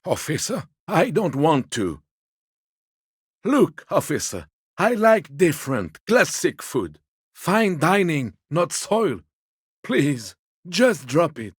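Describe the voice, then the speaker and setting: An actor playing a fussy, timid old gourmand gentleman in a video game, a husky voice and a polite, hesitant, slightly pompous manner. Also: on the high side, no echo, clean studio sound, full character voice. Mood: fussy, uncomfortable. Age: older. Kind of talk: video game character dialogue.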